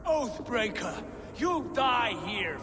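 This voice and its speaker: An actor playing a proud, brooding Viking jarl in a video game, speaking in a solemn, formal way. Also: male voice, extremely high pitch, very animated, slow pace, background noise, exaggerated voice. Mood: urgent anger. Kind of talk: video game cutscene acting. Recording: long reverb under loud music.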